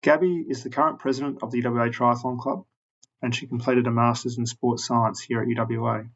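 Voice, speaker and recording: Man, podcast host, gravelly voice, measured scripted intro, muffled lo-fi sound. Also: mid-range voice, steady tone, a bit bright. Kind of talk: scripted introduction and interview questions.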